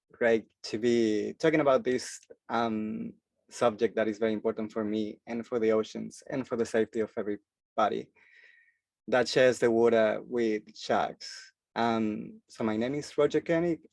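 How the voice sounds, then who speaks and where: A man's voice: lowish voice, fairly even intonation, unhurried, vocal fry, a little mellow, dry recording. A man from Chile, giving a presentation as a freediving instructor and speaking hesitantly with many filler sounds. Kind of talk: forum presentation talk.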